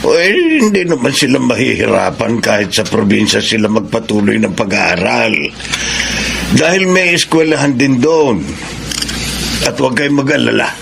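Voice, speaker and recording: An actor playing a kindly but firm elderly Filipino grandfather from the province in a radio drama, with a gravelly, creaky voice. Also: medium pitch, lively intonation, normal speed, a bit bright. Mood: reassuring. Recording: noisy radio drama recording.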